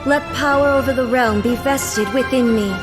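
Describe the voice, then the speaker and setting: A woman's voice: high voice, close to an everyday voice. Solemn, regal shogun goddess over music, woman acting. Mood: proud, resolute. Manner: grave, measured, formal. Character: solemn, regal female shogun deity devoted to eternity. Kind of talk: dramatic video game acting. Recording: studio voice over background music.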